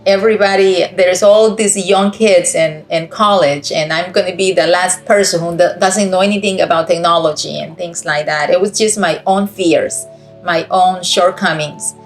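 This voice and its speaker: A woman's voice: lowish voice, fairly even intonation, moderate pace, soft background music. A middle-aged woman from Costa Rica with a Costa Rican accent, giving an earnest, encouraging personal testimony over a video call. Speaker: guest speaker.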